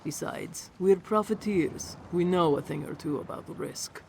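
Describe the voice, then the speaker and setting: A man's voice: fairly high pitch, normal speed, vocal fry, a little mellow, a little hiss or hum. A man voicing a blunt, sober, pragmatic profiteer in scripted video game dialogue. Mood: grim, resolute. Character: grim pragmatic profiteer, ready for the worst.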